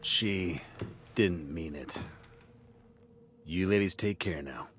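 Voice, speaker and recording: An actor playing a calm, laconic, diplomatic associate in a video game cutscene. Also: male voice, sits low, slow pace. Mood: calm, dry.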